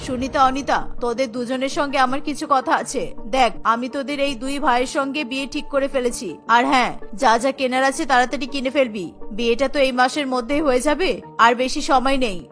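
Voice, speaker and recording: A woman's voice: high voice, steady tone, a little fast, a bit bright, pitched below the performer's own voice. A woman acts out a stern, unloving cartoon mother of grown daughters. The voice drops well below the performer's own, and she talks in a curt, bossy, scolding way over music. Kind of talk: Bengali cartoon character voice.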